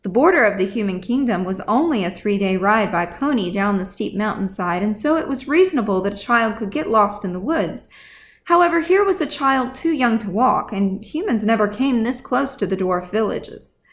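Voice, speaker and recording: A woman's voice: medium pitch, lively intonation, normal speed. A woman reading scripted fantasy fiction as audiobook narration, as the author of the story.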